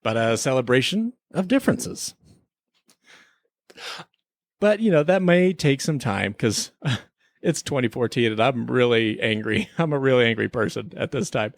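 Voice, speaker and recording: A male podcast host talks casually in a dry, close recording. His delivery is wry and mock-earnest, sliding between relaxed review chat and playful skit. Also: medium pitch, a little slow, no echo.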